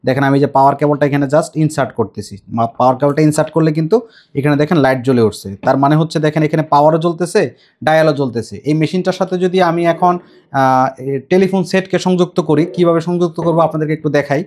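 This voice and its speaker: A man's voice: on the high side, racing, a little mellow. A man with a Bangladeshi accent presenting a product demonstration to viewers, explaining step by step and repeating his points. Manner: explanatory, repetitive. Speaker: shop presenter demonstrating intercom equipment.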